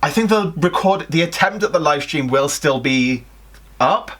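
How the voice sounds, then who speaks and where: A young man chatting casually and reading stories aloud in an animated, jokey way. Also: fairly high pitch, normal speed. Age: young adult. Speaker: co-host of a fan fiction video. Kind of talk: casual chat and story read-aloud. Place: small indoor room at home.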